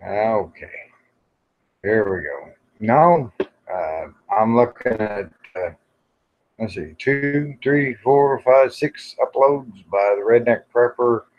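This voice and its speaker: Male livestream host, fifties. Very slow, folksy rambling, half muttered to himself, through dull lo-fi sound. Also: medium pitch. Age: 50s. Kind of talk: casual rambling live chat.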